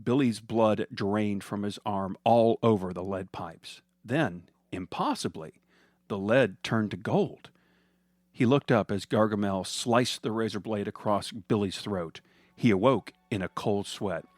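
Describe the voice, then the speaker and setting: A man's voice: lowish voice, unhurried, no room sound. A man reading a scripted comic story as its narrator, in the style of a storytelling podcast.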